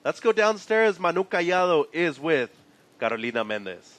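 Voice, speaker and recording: A man commentating live sport, steady and informative as he reads scores and clock times. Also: a little high, normal speed. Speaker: play-by-play commentator.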